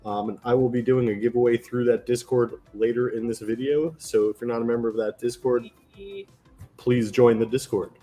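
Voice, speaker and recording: A man's voice: mid-range voice, fairly even intonation, moderate pace, warm tone, faint music behind. A man hosting a casual gaming podcast, sounding nasal from a cold and laughing often between remarks. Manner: laughs often, loose and chatty. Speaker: podcast host.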